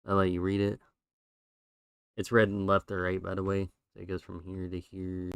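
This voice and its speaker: A man's voice: low voice, moderate pace, creaky, clear, resonant tone, dry recording. A man streaming, chatting casually and rambling through his drawings while losing his voice. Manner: relaxed, rambling with fillers.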